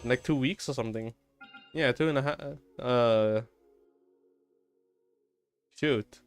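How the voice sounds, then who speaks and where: A man with a clean, clear voice streams a game, chatting casually and half to himself. His pitch sits in the middle range, and game music plays softly behind him. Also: slow pace. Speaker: game livestreamer.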